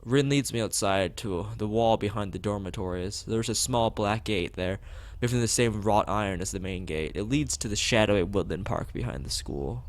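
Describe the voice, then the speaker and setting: A man's voice: on the low side, moderate pace, hardly any pauses, no echo. A man reading a visual novel aloud as a streamer, acting out dialogue, dropping in casual asides and sometimes tripping over words. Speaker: let's play streamer.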